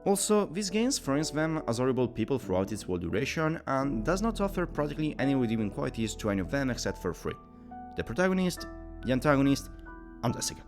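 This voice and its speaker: A man's voice: mid-range voice, sing-song, quick pace. A man narrating a scripted video game review, giving his opinions in an explanatory way. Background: background music.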